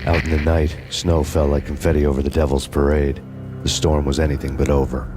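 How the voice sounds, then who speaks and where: A man's voice: very deep voice, flat delivery, fairly quick, over music. A man narrating a hardboiled noir story in a bleak, brooding monologue. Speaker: narrator reading a game story.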